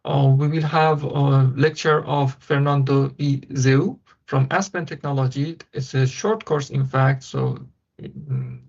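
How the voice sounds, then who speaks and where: Guy hosting an online webinar, gravelly, lots of uhs, dull call audio. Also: medium pitch, fairly even intonation, a little mellow. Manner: halting, many filler ums and uhs. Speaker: webinar host. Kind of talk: hesitant event moderation. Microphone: video call.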